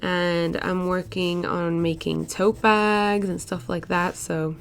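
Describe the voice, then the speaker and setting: A woman's voice: lowish voice, little pitch movement, slow pace, vocal fry. A young woman in her twenties whose first language is Portuguese, chatting casually over a sketching video with lots of "like" and "uh" fillers. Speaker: art vlogger.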